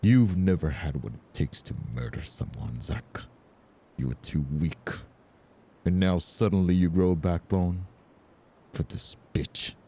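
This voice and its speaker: Low, husky sneering villain acted by a man. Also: measured pace, warm tone, full character voice. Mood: sneering contempt. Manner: mocking, menacing, sneering. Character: taunting, cold-blooded male crime villain. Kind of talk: dramatic audio roleplay acting.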